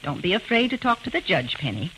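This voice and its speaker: A woman's voice: fairly low pitch, very animated, fast, fairly bright tone, rough recording. An actress playing a stern, disapproving old grandmother in an old-time radio melodrama. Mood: coaxing. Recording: old radio recording with faint noise.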